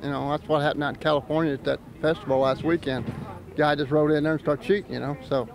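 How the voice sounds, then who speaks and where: A man's voice: a little high, fairly even intonation, fast talker, gravelly, a crowd in the background. A man, a market vendor, talking casually and rambling in an outdoor interview. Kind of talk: street interview.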